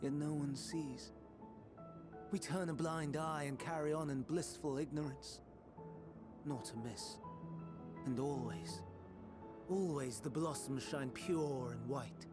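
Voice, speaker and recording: An actor playing a melancholy, idealistic scholar in a video game, speaking in measured, formal, archaic phrases. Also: male voice, fairly high pitch, over music. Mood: brooding, troubled. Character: soft-spoken melancholy male scholar, idealistic, quietly tormented. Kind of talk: dramatic video game voice acting.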